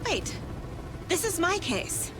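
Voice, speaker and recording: A woman's voice: very high-pitched, big pitch swings, slow pace, heavily acted. A woman playing a determined, indignant FBI agent in a video game, speaking urgently and insistently. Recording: loud music and vehicle sounds behind.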